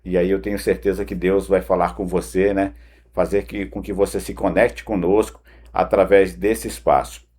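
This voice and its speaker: Male devotional host, deep register with some rasp, earnest conversational delivery straight to the listener. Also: flat delivery, moderate pace, darkish tone. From Pará, northern Brazil. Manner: earnest, direct address with frequent "né" tags. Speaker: host of a morning devotional, former broadcaster. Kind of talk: conversational morning devotional talk. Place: home studio.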